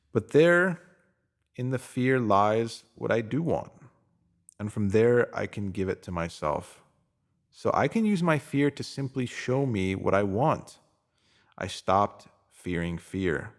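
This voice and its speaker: A man narrating a scripted self-help piece, reading it out as a motivational message. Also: on the low side.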